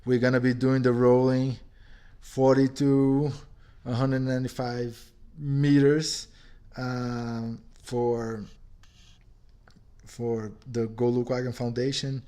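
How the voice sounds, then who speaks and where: A man's voice: mid-range voice, dark tone. Chatty Brazilian-born man in an echoey room. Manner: rambling, many "you know, like" fillers. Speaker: podcast host. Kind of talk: casual conversation.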